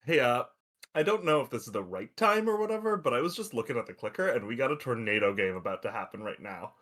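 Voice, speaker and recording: A man's voice: mid-range voice, expressive, fast talker, a bit bright. A man playing an irreverent slacker space probe in a podcast table read, casual, flippant and rambling. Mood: casual, eager.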